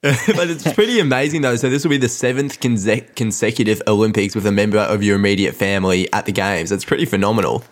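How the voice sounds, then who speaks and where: A man co-hosting a podcast, quick and upbeat. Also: lowish voice. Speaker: podcast co-host. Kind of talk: casual podcast interview.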